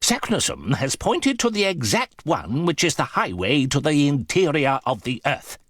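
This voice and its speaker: An actor playing an excitable older professor uncle in an audiobook drama, speaking in an emphatic, pompous, declamatory way. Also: male voice, fairly high pitch, moderate pace, dry recording, clean studio sound. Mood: lecturing, assured.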